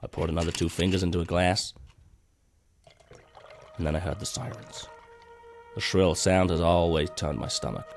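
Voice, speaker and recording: A man voicing a cynical, cold, hard-boiled cop in a brooding, terse noir story narration, with a low, gravelly voice. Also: normal speed, pauses often. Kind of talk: noir first-person scripted narration. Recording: music bed behind the voice.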